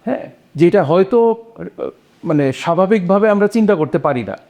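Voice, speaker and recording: A man's voice: sits high, expressive, moderate pace, a little mellow. A man explaining a literary point, repeating phrases for emphasis. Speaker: panelist.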